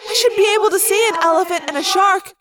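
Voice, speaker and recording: A woman's voice: very high voice, little pitch movement, unhurried, recorded from a distance, background noise, voice pushed up. A woman playing an urgent, caring young girl with a raised voice in an audiobook adventure. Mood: urgent, alarmed.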